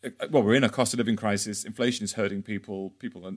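A man's voice: on the low side, steady tone, racing. A man hosting a podcast who puts conversational questions to his guests. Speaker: podcast host. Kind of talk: interviewer questions.

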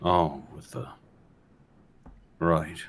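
A man's voice: extremely low pitch, steady tone, drawn-out words. A man playing a tabletop role-playing game, improvising in-character dialogue in a restrained, reassuring manner. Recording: faint background noise.

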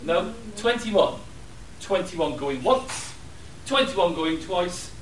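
A man running a charity auction with jokey, quick patter, calling out bids to a crowd. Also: high voice, a little hiss or hum. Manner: lively, self-mocking, rattles off bids. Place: hotel function room at a convention. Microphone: far room camera. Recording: echoey room, distant camera.

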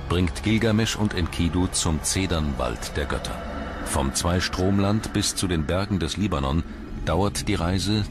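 Very low-voiced documentary narrator, male. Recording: loud music under the voice. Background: loud background music.

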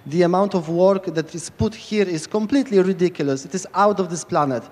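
Polish-accented man, high voice, rambling and eager, handheld mic, long reverb. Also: a little fast, darkish tone. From Poland. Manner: rambling, enthusiastic, many restarts. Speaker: lightning talk speaker. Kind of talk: informal conference talk. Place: large tent stage at hacker camp.

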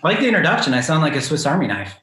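A man in his thirties, a filmmaker guest speaking casually over a video call from a small room, relaxed and rambling. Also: fairly high pitch, racing, slight background noise. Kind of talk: casual interview answers. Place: small home room with shelves. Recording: roomy webcam sound.

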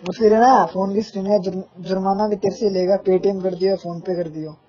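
Nagging young man, husky voice, muffled call. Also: sits high, flat delivery, normal speed, mellow. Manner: nagging, insistent, playfully threatening. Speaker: caller, gaming fan. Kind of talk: casual phone call ranting. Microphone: phone call. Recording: muffled lo-fi phone call recording.

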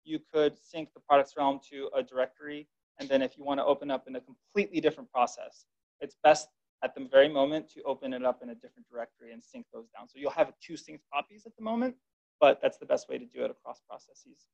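Gravelly upbeat man presenting. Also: mid-range voice, quick pace, darkish tone. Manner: upbeat, informal, filler words. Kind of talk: tech meetup presentation. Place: dark presentation room. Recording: dull lo-fi room recording.

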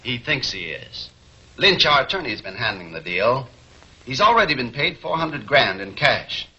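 An older man playing a cold, scheming syndicate boss in a 1940s film serial, brisk and commanding. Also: medium pitch, big pitch swings, bright, forceful tone, husky, full character voice. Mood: cold, businesslike. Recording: dull, hissy 1940s film soundtrack.

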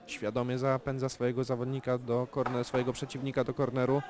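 A man giving calm, measured live play-by-play commentary on an amateur boxing bout, with technical analysis of the punches. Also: mid-range voice. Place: boxing event venue. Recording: loud crowd in a venue.

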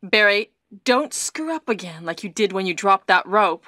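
A woman's voice: mid-range voice, expressive, moderate pace, bright, forceful tone, dry recording, clean studio sound. An actress playing an earnest, capable young policewoman in a stilted nineties video game. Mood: firm.

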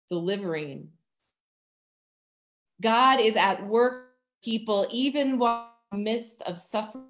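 A woman in her thirties with an American accent gives a sermon in measured, deliberate phrases with emphatic pauses, over a video call from a room at home. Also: mid-range voice. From Virginia, United States. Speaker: pastor. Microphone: computer mic on a video call.

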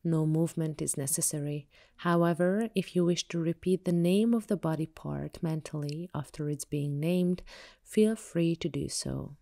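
A woman yoga teacher leading a guided meditation with soothing, measured, unhurried instructions. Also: sits low, creaky, warm tone.

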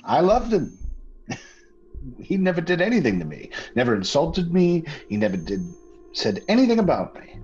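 A man's voice: on the high side, huge pitch swings, normal speed, darkish tone, a little hiss or hum. A man playing a nervous, obsessive murderer confessing in an old-time radio horror style, intense and lingering over words. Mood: agitated. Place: indoor home room, group video call. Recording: dull sound, music underneath.

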